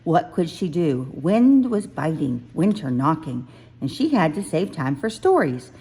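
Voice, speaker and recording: A woman reads a children's storybook aloud. Her pace is slow and deliberate, and her voice sits a little low. She phrases the narration expressively. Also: big pitch swings, dark tone, breathy edge. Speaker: children's book reader.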